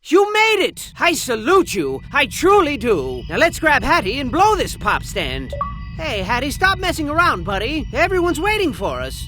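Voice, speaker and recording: An actor playing a hyperactive, theatrical cartoon game narrator, melodramatic one moment and offhand the next. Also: male voice, very high voice, wildly swooping pitch, moderate pace, full character voice. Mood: excited. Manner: melodramatic, swinging between grandiose and offhand. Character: hyperactive, flippant, high-pitched theatrical male cartoon narrator. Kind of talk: comedic game cutscene narration. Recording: loud music behind the voice. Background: music.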